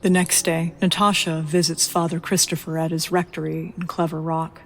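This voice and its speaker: A woman's voice: deep voice, little pitch movement, normal speed. A woman reading scripted narration for an audio drama in a detached, factual manner.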